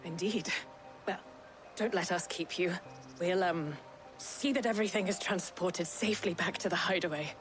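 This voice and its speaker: A woman plays an earnest scholar engineer in a fantasy game. Her voice is slightly low, and she is formal, precise and long-winded, a touch awkward in her politeness. Faint music sits behind her. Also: moderate pace, lightly acted. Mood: grateful, relieved. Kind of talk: video game voice acting.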